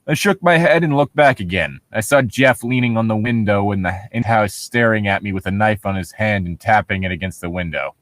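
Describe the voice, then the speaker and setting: A man with a fairly low voice reads a story aloud in a casual, joking way. He slips from narration into quips and side remarks. The recording is dry and close, with little room sound. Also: brisk, few pauses, a bit bright, no echo. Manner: breaks off from reading to quip. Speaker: co-host reading a horror story aloud.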